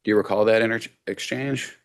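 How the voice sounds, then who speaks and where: Brief: very low-voiced male lawyer, methodical cross-examination on a video call. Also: steady tone. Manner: measured, methodical questioning. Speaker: attorney.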